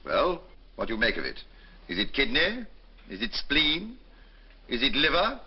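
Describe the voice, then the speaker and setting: A man's voice: mid-range voice, huge pitch swings, moderate pace, husky, full character voice. An actor playing a pompous, bullying senior male surgeon who barks orders at junior doctors. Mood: lecturing. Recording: dull old film soundtrack with faint music.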